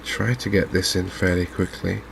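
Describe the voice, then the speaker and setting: A man murmurs calm game commentary in a soft ASMR style. His low voice barely moves in pitch and often settles into vocal fry. He keeps an understated, unhurried manner, with faint noise and ambience behind him. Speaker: gaming video host.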